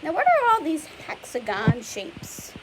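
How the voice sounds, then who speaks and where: A woman with a fairly high voice reads a children's picture book aloud like a teacher. She explains patiently in simple words and asks the listener questions. There is some hiss behind her. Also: wildly swooping pitch, a little slow. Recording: clear background hiss and noise.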